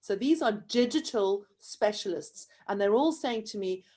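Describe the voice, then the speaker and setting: A woman's voice: mid-range voice, expressive, unhurried, dark tone. A woman with a South African accent hosting an interview, speaking earnestly and reflectively in long, building runs of thought. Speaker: host. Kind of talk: conversational interview.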